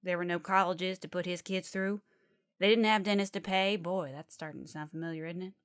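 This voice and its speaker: A woman's voice: on the low side, steady tone, brisk, clean tone, no room sound, clean studio sound, natural voice. Woman playing a folksy Southern lady preacher. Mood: earnest preaching. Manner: folksy, rambling, emphatic. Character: zealous chatty Southern woman Baptist preacher, late-night apocalyptic radio. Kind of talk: midnight radio sermon.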